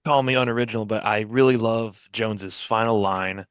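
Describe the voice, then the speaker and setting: Slightly low-voiced man in casual chat. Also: steady tone, brisk, clean tone, no echo. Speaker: voice actor on an audio drama.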